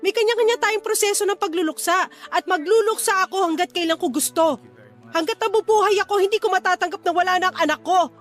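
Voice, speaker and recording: An actress playing a grieving, withdrawn and defensive wife, curt and emotional, in a Filipino radio drama. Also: female voice, very high voice, quick pace, soft background music. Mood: bitter, anguished.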